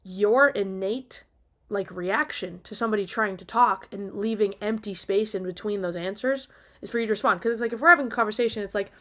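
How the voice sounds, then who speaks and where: A woman's voice: mid-range voice, fairly quick, fairly bright tone. A woman talking casually and off the cuff in an opinionated, emphatic rant, exasperated and casually sweary. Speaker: video diarist.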